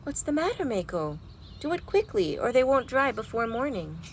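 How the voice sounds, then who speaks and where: A woman voicing a caring, practical storybook mother who gives gentle, brisk instructions. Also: a little high, sing-song, voice pushed up. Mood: concerned. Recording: noisy home recording.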